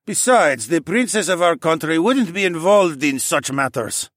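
A man's voice: a little high, big pitch swings, measured pace, creaky, a bit bright, no echo, clean studio sound, voice pushed up, exaggerated voice. A man voicing a formal, courteous nobleman of a fantasy council in an audiobook, matter-of-fact in manner.

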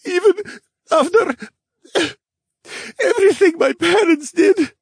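A young bear demi-human boy from a fantasy audiobook voiced by a man, pitched well up high and very animated. He is earnest and easily upset, with a stammering, childlike way of talking. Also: measured pace, dry recording, studio quality. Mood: tearful. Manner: stammering, wide-eyed, childlike.

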